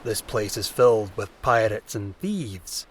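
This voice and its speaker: A man playing a gruff but protective bearded sea captain in a pirate audio drama, speaking in a deliberate, commanding way. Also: on the low side, expressive, slight background noise. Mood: stern, cautioning.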